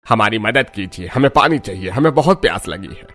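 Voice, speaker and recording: A man's voice: lowish voice, lively intonation, brisk, a bit bright. A man voicing a worried village man in a cartoon story, arguing earnestly and insistently. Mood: pleading. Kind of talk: Hindi cartoon story dialogue.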